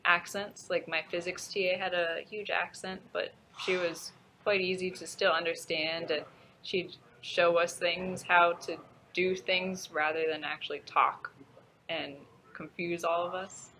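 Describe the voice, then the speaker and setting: A woman's voice: lowish voice, little pitch movement, measured pace, bright, forceful tone, slight background noise, poor audio. A young woman, an undergraduate student, answering an interview question casually with lots of fillers. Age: young adult. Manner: casual, filler-heavy, likes.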